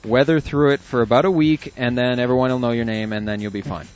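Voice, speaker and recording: A man's voice: mid-range voice, steady tone, fast. A man co-hosting an internet radio show, reading listener emails aloud with chuckles and asides in casual chat. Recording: dull lo-fi audio with faint music.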